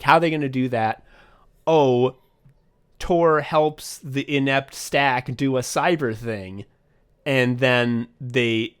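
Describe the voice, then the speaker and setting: A young man chatting casually as a podcast co-host, rambling excitedly and swearing often as he walks through his own thinking. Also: medium pitch. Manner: rambling, profane, excitable, acts out his own thought process. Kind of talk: casual behind-the-scenes chat.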